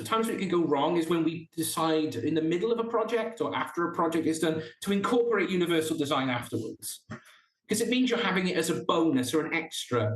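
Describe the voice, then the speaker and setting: A Welsh man with a fairly high voice, talking earnestly as a podcast guest and restarting his thoughts often. The room around him rings noticeably. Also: roomy sound, rough recording. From South Wales. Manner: earnest, with frequent restarts and stumbles. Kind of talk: casual interview chat.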